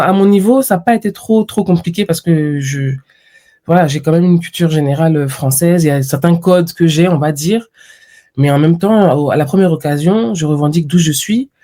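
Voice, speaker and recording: A woman from France, a podcast guest talking reflectively in a conversational interview, with lively quoted asides. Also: low-pitched.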